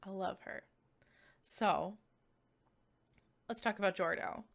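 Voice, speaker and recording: A woman giving a casual, conversational review to viewers, animated and peppered with "like" asides. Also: mid-range voice, takes it slowly, vocal fry. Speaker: visual novel reviewer.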